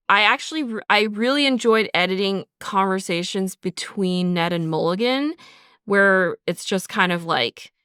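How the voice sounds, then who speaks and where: A woman's voice: lowish voice, fairly bright tone, smooth, no room sound, studio quality. A woman co-hosting a casual behind-the-scenes podcast, speaking informally with lots of "like". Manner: informal, peppers talk with "like". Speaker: podcast co-host, audio drama writer and sound designer.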